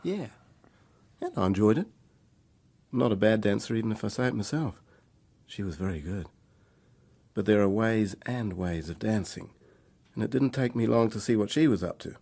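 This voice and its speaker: A man's voice: fairly low pitch, lively intonation, moderate pace, creaky, long pauses, darkish tone. An actor playing a troubled young man making a hesitant, candid confession in a radio drama. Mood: candid, wry.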